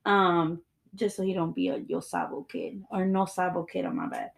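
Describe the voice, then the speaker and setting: A woman's voice: on the low side, sing-song, moderate pace, creaky. Woman, 30s, podcast co-host, casual chat, Spanglish, laughs easily. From Mexico. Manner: laughing, mixes Spanish into English.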